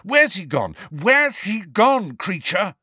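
A man voicing a desperate, angry teenage boy wizard who demands answers by shouting. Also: high voice, big pitch swings, slow, husky, dry recording, studio quality, pitched above the performer's own voice, full character voice. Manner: shouting, urgent.

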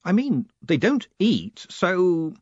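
A man voicing a flustered, nervous young postmaster who talks meekly with a jumpy, raised pitch. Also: sing-song, slow pace, a little mellow, slightly rough, no echo, highs cut off, heavily acted. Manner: flustered, meek, pitch jumping high.

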